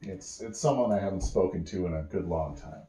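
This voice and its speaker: A cautious schemer, played by a man telling a bedtime story, low-pitched and evasive. He feels his way along with hesitant, roundabout hints, in a room with clear echo. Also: a little fast, mellow, close to an everyday voice. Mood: evasive, hinting. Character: evasive, cautious male schemer probing for information. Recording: roomy, echoey home recording.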